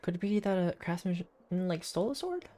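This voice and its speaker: Fast, animated male game streamer. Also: high-pitched. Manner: joking, playful, rambling. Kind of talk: casual live game commentary. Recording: game music behind. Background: game music.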